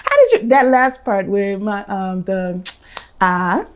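A young woman hosting a talk show, asking curious, quick follow-up questions in a casual studio chat. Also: mid-range voice, clear, resonant tone. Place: small decorated studio set indoors.

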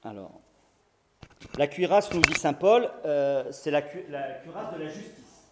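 A man in his thirties giving a lecture in a library room, earnest and halting, with many restarts and repeated words. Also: on the high side, expressive, very noisy. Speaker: conference speaker. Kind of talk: lecture talk. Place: library room with bookshelves, indoors. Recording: echoey room from a distance.

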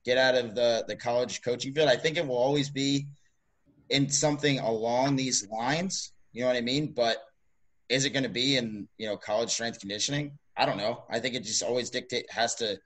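A man's voice: medium pitch, moderate pace, fairly bright tone, rough recording. A man in his mid twenties, a college strength coach co-hosting a podcast, rambling in an upbeat casual chat with many fillers. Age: 20s.

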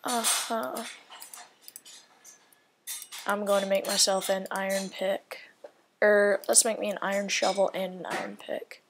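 Woman, gameplay commentator, slow unhurried delivery, rambling and half-whispered asides, faint hiss and mouse clicks behind. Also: mid-range voice, level tone. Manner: rambling, thinking aloud, often drops to a whisper. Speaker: gaming video creator.

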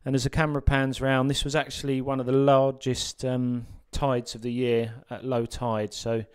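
A man narrating a sea fishing guide as a voice-over, relaxed and full of ums and uhs. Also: mid-range voice, moderate pace, clear, resonant tone.

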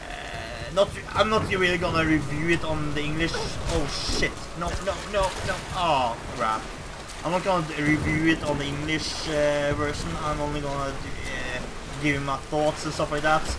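Young Norwegian-accented gamer, loud and reactive, somewhat high voice over rainy game sound. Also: male voice, moderate pace. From Norway. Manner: excitable, swearing, reacting loudly to gameplay. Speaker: let's play commentator. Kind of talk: casual gameplay commentary. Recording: game sound behind, rain noise.

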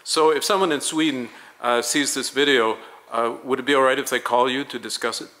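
A man interviewing a guest for a promotional video, asking polished, leading questions at a measured pace. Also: mid-range voice, bright, forceful tone, low-quality recording.

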